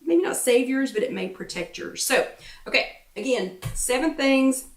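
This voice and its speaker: A woman hosting a wellness workshop, chatting casually and encouragingly to viewers, repeating herself for emphasis with lots of ums, her voice getting croaky. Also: high voice, expressive, moderate pace, recorded from a distance. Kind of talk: casual motivational chat to viewers. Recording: roomy echo, away from the mic.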